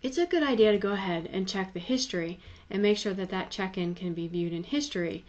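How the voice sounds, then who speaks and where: A woman's voice: mid-range voice, fairly quick, a little mellow. A woman giving a conversational, explanatory tutorial voice-over in a plain-spoken, instructive manner. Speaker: tutorial presenter.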